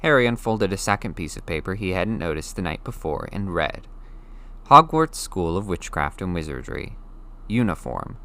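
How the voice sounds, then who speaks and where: Animated male storybook narrator, slightly low voice. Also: moderate pace. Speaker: audiobook narrator.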